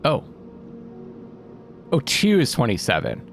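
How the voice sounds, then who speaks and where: A man's voice: medium pitch, huge pitch swings, takes it slowly, vocal fry. A man giving casual gaming commentary, thinking aloud, questioning himself and reading out bits of on-screen text. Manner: musing, often questioning himself. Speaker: let's play host. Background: game music.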